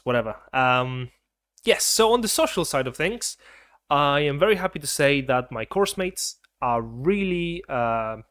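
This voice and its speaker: Young male vlogger, casual and rambling, mid pitch, dry close recording. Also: a bit bright, dry recording. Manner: chatty, rambling, self-correcting. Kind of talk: casual chat to camera.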